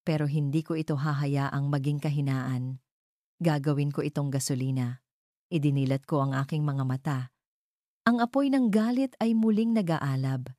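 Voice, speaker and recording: A woman's voice: low voice, moderate pace, dark tone, no room sound, studio quality. A woman with a Filipino accent reading a dramatic first-person story as a scripted narration.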